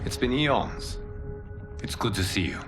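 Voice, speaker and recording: A man playing a calm, wise, ageless god and mentor with measured, formal delivery in a video game. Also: medium pitch, music in the background, lightly acted. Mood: reflective. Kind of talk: dramatic video game cutscene acting.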